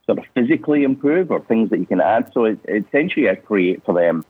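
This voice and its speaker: Hesitant Scottish guest, low husky voice heard down a tinny phone line. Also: male voice, animated, quick pace, dry recording. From Scotland. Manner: hesitant, many restarts and fillers. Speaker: interview guest, business owner. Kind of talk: casual interview answers. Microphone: phone call.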